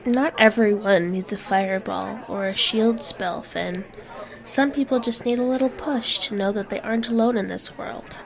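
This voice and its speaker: A woman playing a compassionate goddess in an audio drama, with an earnest, gentle delivery. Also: mid-range voice, moderate pace, vocal fry, lightly acted, poor audio. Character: earnest, compassionate goddess running a magical tattoo shop. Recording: crowd chatter behind.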